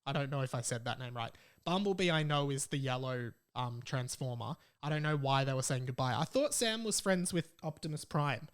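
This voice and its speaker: A man's voice: medium pitch, animated, fairly quick, few pauses, clear, resonant tone. A young Australian man hosting a podcast solo, reading out listener messages and commenting on them in a chatty, informal way. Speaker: podcast host.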